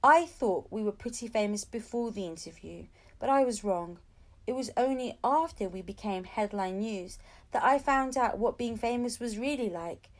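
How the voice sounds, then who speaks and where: A woman's voice: on the high side, moderate pace. A woman reading a children's storybook aloud, narrating expressively as an audiobook reader. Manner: expressive storytelling with acted-out dialogue.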